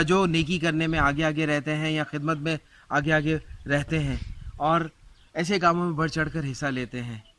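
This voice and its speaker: A man speaking as a vlogger to his viewers in an informal, devout and somewhat halting way. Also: fairly high pitch, pushed and bright, slight background noise. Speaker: vlogger, channel host. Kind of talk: informal vlog talk, thanking and appealing to viewers.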